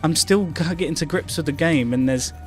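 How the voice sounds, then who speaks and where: A man's voice: on the high side, fairly quick. A man co-hosting a live game stream in casual, rambling, sweary chat. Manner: rambling, hesitant phrasing, sweary. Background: game music.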